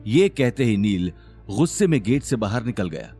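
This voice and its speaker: A man narrating a scripted audio drama in a dramatic, expressive storytelling manner. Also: lowish voice, moderate pace, breathy edge, faint music behind.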